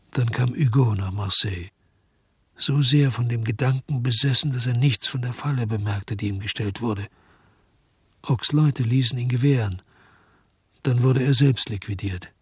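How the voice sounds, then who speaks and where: An actor playing a weary, hard-boiled investigator in a radio drama, with terse, laconic delivery and a husky voice. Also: male voice, mid-range voice, no room sound, studio quality. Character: weary, hard-boiled male investigator telling his story. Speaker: first-person narrator and lead actor. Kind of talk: German radio drama narration and dialogue.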